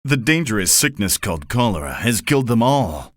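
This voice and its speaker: A man's voice: fairly low pitch, brisk, no room sound, studio quality. A man as a grave army officer. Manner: grave and flat. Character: grave army officer bearing bad news.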